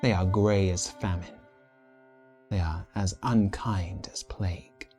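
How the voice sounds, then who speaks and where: A man narrating a literary story in a deliberate, menacing, dramatic style, as a scripted readthrough. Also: deep voice, steady tone, darkish tone. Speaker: reader of a short story. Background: instrumental background music.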